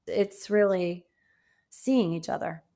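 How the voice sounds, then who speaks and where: A woman speaking as a coach and podcast guest, reflective and conversational, with emphatic phrasing and deliberate pauses. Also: lowish voice, creaky, mellow. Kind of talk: conversational interview.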